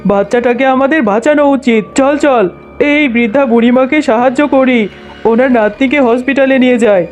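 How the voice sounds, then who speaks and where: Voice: high-pitched, normal speed, soft background music, lightly acted. A performer voicing a concerned, kindly but bossy male tiger uncle in a cartoon, giving instructions and exclaiming. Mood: concerned, surprised.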